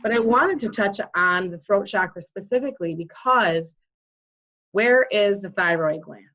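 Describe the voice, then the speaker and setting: A woman's voice: medium pitch, lively intonation, measured pace, fairly bright tone. A woman hosting a wellness podcast and explaining energy healing in an earnest, conversational way. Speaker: podcast host and Reiki practitioner. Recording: dull lo-fi sound.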